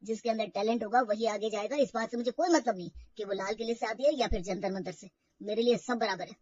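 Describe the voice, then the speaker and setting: A woman's voice: fairly high pitch, fast talker, darkish tone, slightly rough, dry recording, far from the mic, pitched below the performer's own voice. Firm, fair male teacher acted by a woman, instructive, audio-story style, slightly muffled. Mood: stern.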